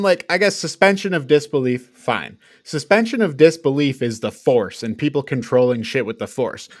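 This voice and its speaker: A man talks as a panelist on a casual review podcast. He is blunt and exasperated, with loose swearing and a conversational delivery. His voice sits at an ordinary male pitch, and the sound is very dry. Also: no room sound. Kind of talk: casual critical chat.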